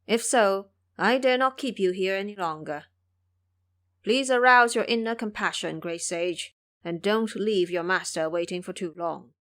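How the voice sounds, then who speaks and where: A woman's voice: mid-range voice, unhurried, a bit bright, lightly acted. Woman playing courtly old Dragon King. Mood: urging. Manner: formal, persuasive. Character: courtly old male Dragon King, wise, earnest counsellor. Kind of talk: audio drama character acting.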